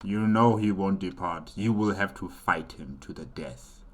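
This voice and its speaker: A man hosting a reaction video with casual, halting off-the-cuff commentary. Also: sits low, soft background music.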